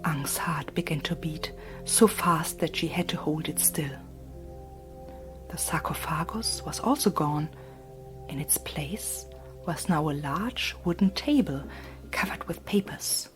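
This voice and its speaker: A woman narrating a fantasy audiobook in a soft, breathy, hushed voice. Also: low-pitched, animated, measured pace, whispered. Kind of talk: scripted narration. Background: faint music.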